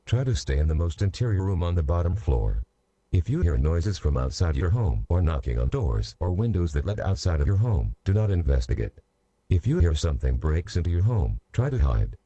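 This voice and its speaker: A man reading a scripted national emergency alert in a measured, formal, instructional manner. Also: deep voice, very animated, warm tone, slightly rough, no room sound, lo-fi sound. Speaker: emergency broadcast announcer.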